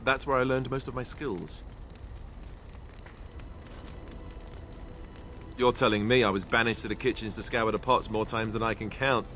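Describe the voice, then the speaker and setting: A man's voice: medium pitch, fairly even intonation, fairly quick, pushed and bright, lightly acted. A man voicing a wry young warrior in a fantasy game. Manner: wry, self-deprecating humor. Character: young male warrior, former templar trainee, wry and self-mocking. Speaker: companion character in a video game. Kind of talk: voiced game dialogue. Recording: game audio over background music. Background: game soundtrack music.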